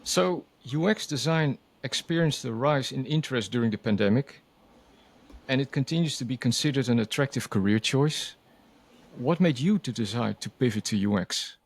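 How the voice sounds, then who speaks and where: A man's voice: medium pitch, moderate pace. A man hosting a podcast, politely asking prepared interview questions. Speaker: podcast host.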